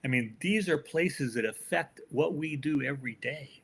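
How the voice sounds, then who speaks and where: A man's voice: fairly high pitch, moderate pace, gravelly, a bit bright, slightly rough. An older man with an American accent, giving folksy, opinionated answers as a guest in a conversational interview. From United States. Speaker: podcast guest, author.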